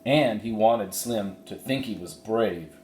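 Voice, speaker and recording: A man's voice: lowish voice, slow, a little mellow, slight background noise. A man, a teacher, reading a children's book aloud expressively with casual asides. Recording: roomy, echoey sound.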